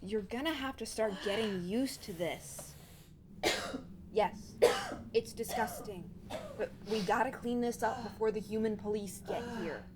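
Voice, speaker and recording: A woman's voice: mid-range voice, unhurried, a crowd in the background, natural voice. A woman playing a bossy, exasperated covert operative in an audio drama. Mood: brusque, weary. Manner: brusque, exasperated. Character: irritated, bossy covert operative cleaning up a crime. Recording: clear background noise and ambience.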